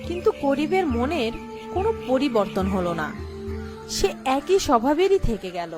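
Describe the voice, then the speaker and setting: A woman reads a children's folk tale as narrator. Her voice is high and swoops expressively, with music softly underneath. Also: sing-song, normal speed. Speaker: story narrator.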